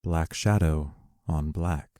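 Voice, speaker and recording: A man's voice: rumbling low, takes it slowly, warm tone, no room sound, studio quality. A man reading stage directions as the scripted narration of an audio play.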